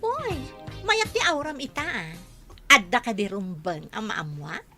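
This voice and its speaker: A woman playing a chatty, teasing friend and confidante in a radio drama, expressive and speaking with a Filipino accent. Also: fairly high pitch, measured pace, over music. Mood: curious, teasing.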